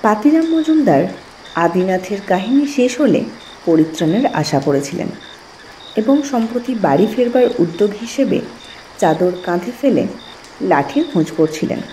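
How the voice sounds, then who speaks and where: A woman narrating a scripted literary story in an expressive, measured storytelling manner. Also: mid-range voice, slight background noise, low-quality recording. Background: music.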